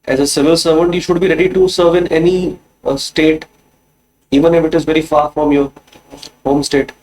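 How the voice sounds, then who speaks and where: Man, Indian accent, interview panelist, formal questioning, measured, probing, somewhat high pitch. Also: level tone, a little mellow, rough recording.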